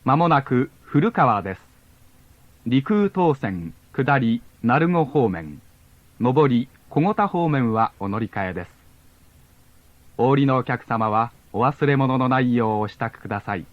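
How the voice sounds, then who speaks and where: A muffled cassette recording carries a man's train announcement, with very little room echo. His mid-pitched voice rises and falls in a lively way. He delivers the script formally and politely, in measured phrases. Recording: dull lo-fi cassette tape.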